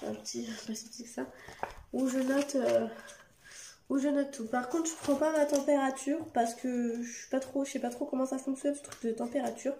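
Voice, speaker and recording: A young woman talks straight to her camera at home late at night, her voice hoarse and gravelly from a cold. She sits a little high in pitch and rambles, pausing often and restarting her sentences. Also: steady tone, dark tone, a little hiss or hum. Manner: rambling, many hesitations and restarts. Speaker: family vlogger. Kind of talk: casual direct-to-camera vlog. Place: home at night under artificial light.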